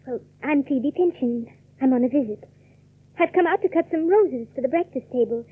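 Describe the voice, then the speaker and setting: High-voiced timid young woman. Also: normal speed, husky, dry recording. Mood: bright, curious. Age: young adult. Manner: hesitant, courteous. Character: polite, timid young country cousin, gentle woman. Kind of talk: radio drama acting. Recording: dull, dry old radio recording.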